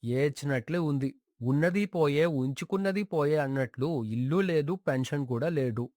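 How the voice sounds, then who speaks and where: A man's voice: a little high, normal speed, a little mellow, dry recording, studio quality, close to an everyday voice. A man playing a callous, greedy grown son, blunt and complaining, in a dramatized moral story. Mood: indignant.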